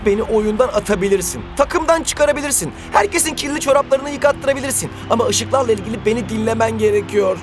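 A man voicing an earnest, obsessive young footballer in a cartoon dub, pleading and excitable. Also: very high-pitched, fast, few pauses, music in the background. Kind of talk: dubbed cartoon acting.

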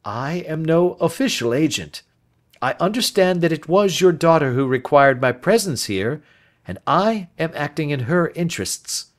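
A man doing a calm, precise detective. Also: a little high, pitched above the performer's own voice, lightly acted. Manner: measured, precise, gentle but firm. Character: sharp, composed male detective, calm and precise. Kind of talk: audiobook character voice.

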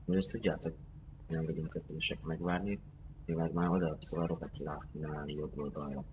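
A man's voice: a bass voice, fairly even intonation, a little hiss or hum, low-quality recording. A man arguing insistently in character during an online game roleplay.

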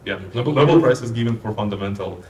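A young man with a very deep voice answers questions haltingly, pausing and restarting often. He is heard from across an echoey lecture room. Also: lively intonation, darkish tone, recorded from a distance, a little hiss or hum. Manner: hesitant, many fillers and restarts. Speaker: panelist scientist. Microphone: handheld mic.

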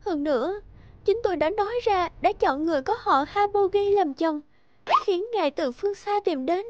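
A woman voicing a gentle, dutiful young bride in a Vietnamese cartoon, speaking politely and earnestly. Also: extremely high pitch, moderate pace, no echo, muffled recording. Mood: earnest, resolved. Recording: background music under the voice.

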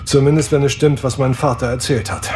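A man's voice: mid-range voice, fairly even intonation, fairly quick, darkish tone, a little hiss or hum, natural voice. A man playing an earnest young space hero who leads his crew, speaking steadily in an audio drama. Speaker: spaceship captain.

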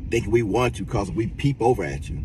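A man's voice: expressive, a little fast. A middle-aged man giving casual, profane reaction commentary from inside a parked car, recorded on a phone at arm's length, with blunt and exasperated emphasis. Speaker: reaction vlogger. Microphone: phone camera at arm's length. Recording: car rumble and street noise, distant mic.

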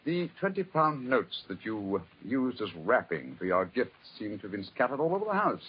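An actor with a British accent playing a commanding, self-assured gentleman detective in a crisp, theatrical 1940s radio manner. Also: mid-range voice, very animated, fairly quick, a little hiss or hum, natural voice. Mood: brisk. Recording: muffled 1940s radio, background commotion.

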